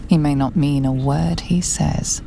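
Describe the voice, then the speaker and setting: An actress playing the narrator of a fantasy game, a woman speaking in a hushed, deliberate, knowing way. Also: sits low, steady tone, vocal fry, noisy background. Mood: knowing, ominous. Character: intimate, ominous female storybook narrator of a fantasy game. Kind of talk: scripted second-person game narration.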